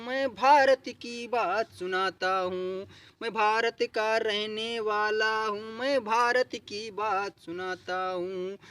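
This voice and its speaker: A man at a public gathering, addressing the crowd and singing protest verses in a slow, repetitive, chant-like refrain. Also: high voice, a bit bright. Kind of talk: sung protest verses with short spoken lead-in. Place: public gathering with a seated audience.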